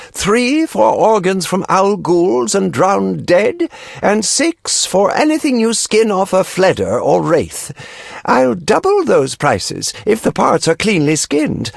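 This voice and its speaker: A man's voice: high voice, no echo, studio quality, full character voice. An actor playing a pompous, fussy nobleman in a video game, with formal, self-important speech. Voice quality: creaky.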